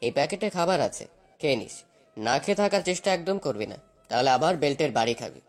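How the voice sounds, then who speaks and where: A man's voice: fairly high pitch, lively intonation, quick pace, soft background music. Male actor as cruel young husband, possessive, commanding, taunting, very varied intonation, audio romance drama. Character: menacing, obsessive young husband, domineering and cruel.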